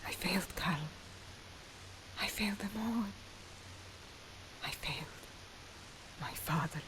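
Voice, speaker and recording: An actress playing a defeated, anguished partisan captive in a video game, speaking weakly and haltingly in a breathy near-whisper. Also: female voice, on the low side, background noise. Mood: broken despair. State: anguished, weak. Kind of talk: video game cutscene drama acting.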